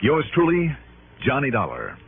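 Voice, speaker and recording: Shrewd radio detective, lively delivery. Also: male voice, mid-range voice, normal speed, phone-quality sound, heavy background noise. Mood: wry, wrapping up. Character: shrewd, dogged male insurance investigator. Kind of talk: 1950s-60s radio detective drama acting. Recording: band-limited old radio recording.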